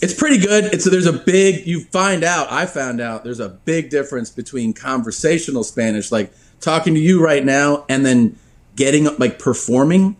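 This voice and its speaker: Man, casual podcast guest, profane and animated, keeps laughing through his stories. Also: a little high, normal speed. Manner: profane, animated storyteller who laughs often. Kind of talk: casual storytelling.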